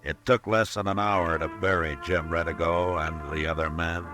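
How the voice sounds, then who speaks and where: An actor playing a calm, laconic frontier marshal who also narrates, in an old radio drama. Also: male voice, sits low, normal speed, close to an everyday voice. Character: steady male frontier marshal, calm and firm. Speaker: narrator and lead. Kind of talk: 1950s radio drama acting. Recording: old radio broadcast with music bed.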